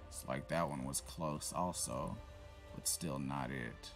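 A man giving casual, profanity-laced commentary while playing a shooter game, reacting loudly to what happens on screen. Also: extremely low pitch, slow, vocal fry, over music. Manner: swears freely, reacts loudly to in-game events. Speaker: gameplay commentator.

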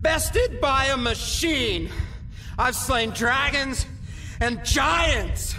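A man's voice: very high voice, very animated, extremely slow, sharp tone, slight background noise, exaggerated voice. A man voicing a proud Norse warrior god who declaims grandly in a game cutscene. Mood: anguished pride. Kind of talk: animated game cutscene acting.

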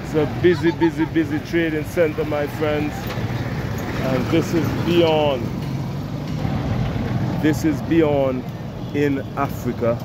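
A man in his forties from the Caribbean, walking through a village market and chatting easygoingly to his camera and to passersby. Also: mid-range voice. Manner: slow, easygoing, friendly greetings to passersby. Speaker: travel vlogger. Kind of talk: casual walking vlog narration. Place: busy outdoor village market. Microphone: selfie camera at arm's length. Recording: street noise behind the voice.

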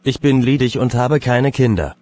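A man's voice: mid-range voice, normal speed, darkish tone, natural voice. A man voicing an exam candidate in his late twenties, introducing himself in plain, simple sentences. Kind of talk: scripted role-play dialogue.

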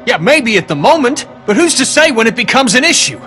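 An actor playing a hard-headed, pragmatic survivor in a zombie video game, blunt and insistent. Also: male voice, very high voice, sing-song, brisk, sharp tone, exaggerated voice. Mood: angry, insistent. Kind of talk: dramatic video game acting.

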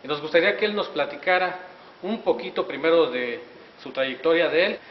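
A man's voice: fairly high pitch, animated, brisk, slight background noise. A middle-aged male reporter interviewing guests on community TV, effusive and congratulatory, with many fillers, holding a handheld mic indoors. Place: wood-paneled auditorium hallway, indoors. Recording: echoey hall from a distance, dull sound.

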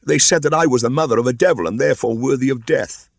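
A man's voice: mid-range voice, fast talker, gravelly, no echo, voice pushed up. A man reading a storybook aloud, voicing a frightened village mother who pleads timidly in a raised voice. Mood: pleading.

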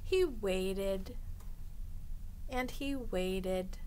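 A middle-aged woman reading a picture book aloud to children as a storytime host, expressively and deliberately, drawing out the ends of phrases. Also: medium pitch, darkish tone, a little hiss or hum. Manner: deliberate, drawing out phrase endings for young listeners. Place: small indoor room lined with bookshelves.

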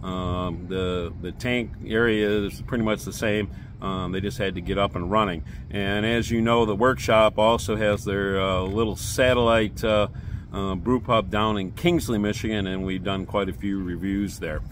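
A man's voice: lowish voice, moderate pace, slight background noise. Older man vlogging outdoors, rambling. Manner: relaxed, rambling, many fillers. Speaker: beer review vlogger. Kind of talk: casual vlog walk-through. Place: outdoor brewery beer gardens. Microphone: phone camera at arm's length.

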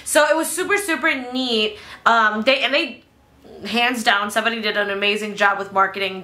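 A woman's voice: mid-range voice, bright, forceful tone. A young woman with an American accent chatting casually as a podcast co-host, rambling with slang and some swearing. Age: young adult. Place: small living room, on a couch. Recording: echoey living room.

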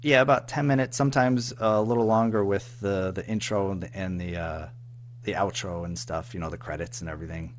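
A man speaking as an interview guest in casual chat, hesitant, halting and self-effacing as he talks about his own work. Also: deep voice, steady tone, slight background noise. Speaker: guest, audio drama writer and producer.

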